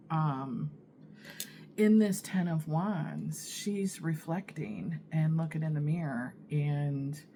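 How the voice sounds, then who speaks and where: A woman reading tarot in an unhurried, musing way. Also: low-pitched, warm tone, a little hiss or hum. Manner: unhurried, musing, many fillers and pauses. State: husky morning voice from allergies. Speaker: tarot reader. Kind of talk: casual tarot reading to camera.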